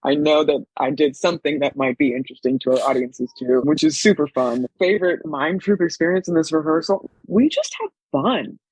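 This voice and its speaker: A man's voice: fairly high pitch, sing-song, brisk, vocal fry, a little mellow, husky, no echo. A man who acts on stage, telling casual anecdotes as an interview guest. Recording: dull, lo-fi dry sound.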